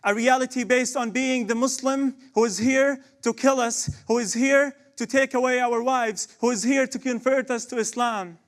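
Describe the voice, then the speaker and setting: High-voiced man performing poetry. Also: fairly even intonation, moderate pace, hardly any pauses. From Palestine, West Bank. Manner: impassioned, rhetorical, repeated refrains. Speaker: spoken word performer. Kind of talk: spoken word poetry.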